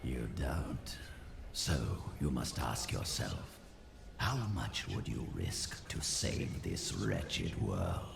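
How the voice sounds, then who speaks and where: An undead sorcerer speaks from a fantasy game with treacherous, mocking menace. The voice crackles with heavy gravel and whispery edges, crawling along very slowly over a loud bed of game ambience. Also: male voice, very deep voice, big pitch swings, exaggerated voice. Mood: cold bargaining. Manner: slow, menacing, sardonic. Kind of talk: dramatic fantasy game acting.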